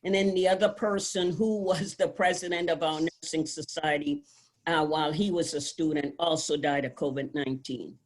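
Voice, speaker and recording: Measured, solemn woman professor. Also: sits low, fairly even intonation. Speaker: nursing professor and department chair. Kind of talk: formal opening remarks. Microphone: video call.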